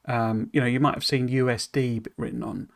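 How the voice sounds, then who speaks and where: A man's voice: medium pitch, fairly even intonation, fairly quick, vocal fry. Man reading aloud and explaining, hesitant. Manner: explains in loose asides full of "you know"; hesitant and self-deprecating over foreign words. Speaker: streamer reading a game dev blog.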